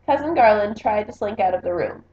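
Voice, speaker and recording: A woman reading a children's storybook aloud in an expressive narrating style. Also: medium pitch, brisk. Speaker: book reader. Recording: echoey room, slightly muffled sound.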